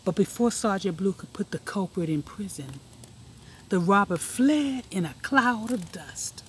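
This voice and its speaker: Expressive, slow-reading woman storyteller. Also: medium pitch, a little hiss or hum. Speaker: storybook reader. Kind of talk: children's read-aloud narration.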